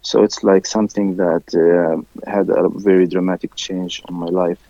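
A man whose first language is Arabic, a musician interviewed in English, who talks haltingly with many fillers and restarts. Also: low-pitched, little pitch movement, dark tone, no room sound, dull audio. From village near Haifa, raised there from first grade. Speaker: musician guest.

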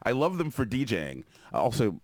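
A man from New York, a guest DJ on a radio show in a studio, laid-back, self-mocking and quick to laugh. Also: mid-range voice, wildly swooping pitch, no echo. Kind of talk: casual radio chat. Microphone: studio microphone.

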